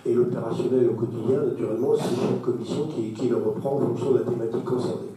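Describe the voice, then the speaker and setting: Very dark-voiced man in an echoey hall. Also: medium pitch, steady tone, fast talker. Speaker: council official answering questions. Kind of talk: spontaneous explanation in a formal meeting. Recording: very echoey hall, distant mic.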